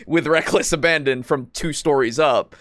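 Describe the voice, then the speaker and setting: A man co-hosting a podcast, talking casually about tabletop roleplaying in a halting, rambling way with frequent swearing. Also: fairly high pitch, a bit bright, no room sound, clean studio sound. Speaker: podcast co-host.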